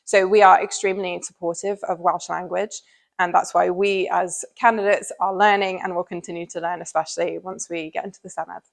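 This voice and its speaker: A woman's voice: fairly low pitch, level tone, brisk, creaky. An English woman with an English accent, giving a considered interview answer as an election candidate. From England.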